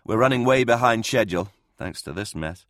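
A man voicing a stern, impatient and somewhat pompous military commissar in an audiobook, gruff and curt. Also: fairly low pitch, lively intonation, fairly quick, a bit bright, no echo, studio quality, pitched above the performer's own voice. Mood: businesslike. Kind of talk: audiobook character voice in military science fiction.

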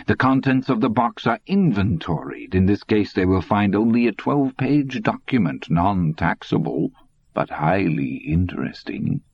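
A man's voice: lowish voice, expressive, moderate pace, vocal fry, husky, muffled recording, full character voice. A man doing a gloating old man. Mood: smug. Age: older. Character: sly old German man, cold, mocking.

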